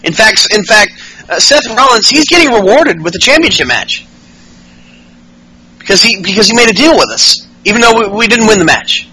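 A man's voice: sits high, sing-song, normal speed, sharp tone, slightly rough, poor audio. A man in his late twenties co-hosting a podcast, chatting casually about wrestling, profane and rambling with many restarts. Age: 20s. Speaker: podcast co-host.

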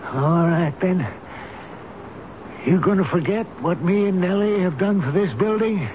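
An actor playing a gentle, loyal old elevator operator in a radio drama, speaking in a halting, earnest, folksy and tender way. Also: male voice, sits high, expressive. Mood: pleading, distressed. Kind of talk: 1970s radio drama acting. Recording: noisy muffled old radio broadcast recording.

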